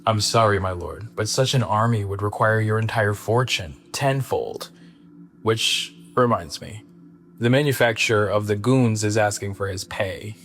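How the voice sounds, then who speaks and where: A man's voice: lowish voice, measured pace, a bit bright, faint music behind. An actor playing an apologetic, formal male henchman to a villain lord in a radio drama. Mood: anxious deference.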